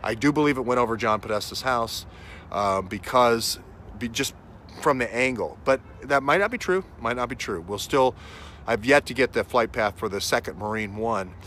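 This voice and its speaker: A man with a bright, forward voice records a vlog outside, with faint traffic and city noise behind him. He talks off the cuff in a halting but emphatic way, repeating key phrases to drive them home. His pitch sits in an ordinary middle range. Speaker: vlogger, self-styled investigator. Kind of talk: unscripted outdoor vlog monologue, speculative commentary. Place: outdoors on a city street. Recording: outdoor street noise with traffic. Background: faint urban ambience and vehicles.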